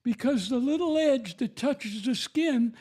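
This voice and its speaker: Older man, high voice, mellow tone, emphatic, repeats himself, preachy. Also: unhurried. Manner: emphatic, repetitive, preachy storytelling. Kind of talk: testimony on faith and parenting.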